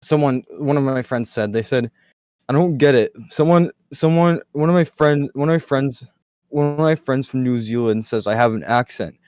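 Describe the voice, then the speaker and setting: Mellow American man on a dull, dry mic. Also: mid-range voice, normal speed, no room sound. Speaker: podcast host. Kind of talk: casual podcast chat.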